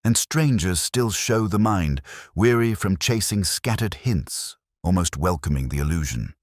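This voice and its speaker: A man playing a weary, contemplative police inspector who narrates his case in a solemn, measured, literary manner. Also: deep voice, animated, dry recording, studio quality. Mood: brooding, solemn. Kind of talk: scripted first-person detective narration.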